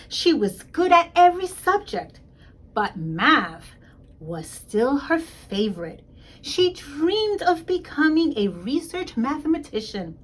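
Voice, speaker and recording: A woman with a fairly high voice reads a picture book to children. Her pitch swings in a lively way and she takes her time at a slow pace. She stresses key words and talks straight to her young listeners. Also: rough recording. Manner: emphatic, addresses young listeners directly, explains words. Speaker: school principal reading a picture book to children. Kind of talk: expressive children's story read-aloud.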